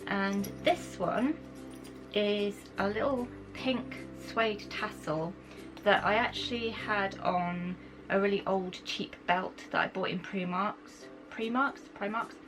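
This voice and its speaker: A young British woman, croaky from a cold, chatting enthusiastically as she shows off her things to camera. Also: medium pitch, slow, rough recording. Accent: British English. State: croaky from a cold, sore throat. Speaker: vlogger. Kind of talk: casual chat to camera. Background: background music.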